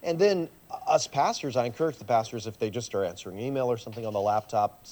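A middle-aged man, a pastor, chatting in a casual interview in a church coffee shop, earnest when he explains and quick to laugh. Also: mid-range voice, a little mellow. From USA.

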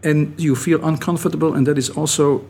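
A middle-aged man, a native Dutch speaker with a Dutch accent, giving thoughtful, halting answers as an academic guest in an interview. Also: fairly high pitch, steady tone, vocal fry, darkish tone, a little hiss or hum. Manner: thoughtful, halting, often restarting phrases. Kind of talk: reflective interview talk.

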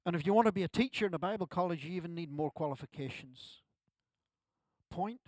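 A man's voice: sits high, brisk, darkish tone, dry recording. A man, preaching a sermon at a church service in a conversational way with self-deprecating asides. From Ireland. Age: 60s. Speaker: pastor.

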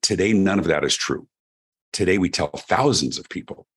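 A middle-aged man from Denver with a deep voice, telling his story as a guest on an interview show. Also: normal speed, slightly rough. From Denver, Colorado, USA. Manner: animated storyteller, chatty with restarts. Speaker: keynote speaker and guest. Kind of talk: podcast interview.

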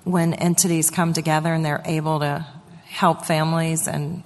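Council member, woman, low monotone, slow and searching, echoing room. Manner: slow, searching, thinking aloud. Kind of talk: remarks at a public meeting.

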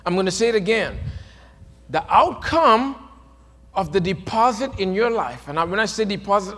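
A man preaching a sermon, moving from quiet, low passages to loud, emphatic peaks. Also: big-room sound. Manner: swings from soft to shouted, rising to emphatic peaks.

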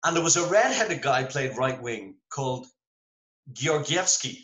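Irish male guest, chatty raconteur, bright tone, roomy video-call sound. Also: mid-range voice, a little slow. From Ireland. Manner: animated storyteller, self-deprecating. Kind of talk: casual video-call interview.

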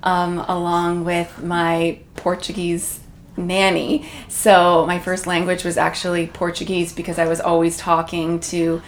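A woman's voice: on the low side, completely flat delivery, unhurried, a little hiss or hum. A woman from Canada, a guest in an interview, talking in a reflective, chatty way with many fillers. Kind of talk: conversational interview. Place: room in Toronto.

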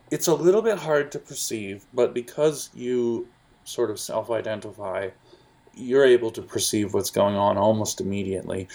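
A man narrating as game master of a tabletop role-playing game, describing scenes in a halting, lively way. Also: medium pitch, vocal fry, a little hiss or hum.

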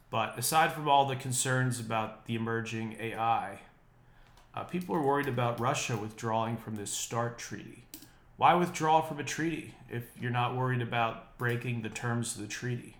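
A man's voice: mid-range voice, fairly bright tone, reverberant. A man hosting his own podcast, talking through the news in a wry, opinionated, rambling way, his voice a bit nasal and congested. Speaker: podcast host. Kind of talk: casual solo podcast monologue. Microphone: unprocessed podcast mic.

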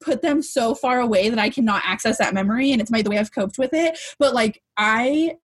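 A young woman co-hosting a podcast, chatting casually in a loud voice, talkative, rambling and frank, with easy swearing. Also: fairly high pitch, a little fast. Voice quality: husky, loud voice. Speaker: podcast co-host. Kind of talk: casual, candid chat.

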